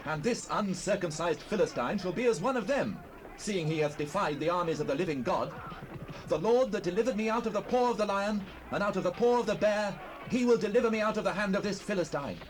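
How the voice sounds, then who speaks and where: An actor playing a bold young shepherd full of faith, speaking earnestly and fervently in a dramatized Bible recording. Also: male voice, high voice, expressive, fairly quick. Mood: fervent, confident. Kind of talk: dramatized Bible audio acting. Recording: faintly noisy cassette rip.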